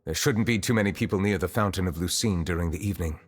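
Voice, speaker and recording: An actor playing a solemn, reserved man of high office in a video game, speaking in a formal, measured, reflective way. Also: long reverb tail, slight background noise, natural voice. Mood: composed. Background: music.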